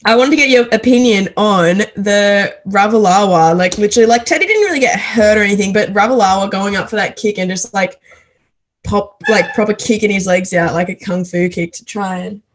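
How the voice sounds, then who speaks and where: A young Australian woman co-hosting a casual rugby league podcast over a video call, chatty and laughing often. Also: fairly quick. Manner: giggly, chatty, frequent laughter, high squeals when mimicking. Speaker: podcast co-host.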